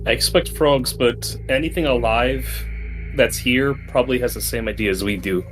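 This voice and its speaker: A man takes part in a tabletop roleplaying session as a player. He talks casually in a middle-pitched voice. Music plays clearly in the background. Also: moderate pace, rough recording. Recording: music bed behind voice.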